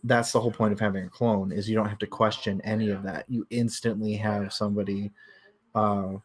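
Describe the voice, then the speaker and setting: A man's voice: lowish voice, level tone, a little fast. A man in a casual livestream book discussion, explaining things analytically and feeling his way through his thoughts.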